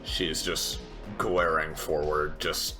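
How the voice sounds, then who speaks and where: Deep, slow-talking man, halting narration. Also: little pitch movement, bright, forceful tone, soft background music. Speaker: player. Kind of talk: narrating his character's actions.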